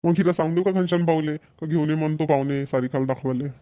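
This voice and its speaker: A man voicing a practical middle-aged village father, with a brisk, instructing manner. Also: on the high side, fairly even intonation, warm tone, close to an everyday voice.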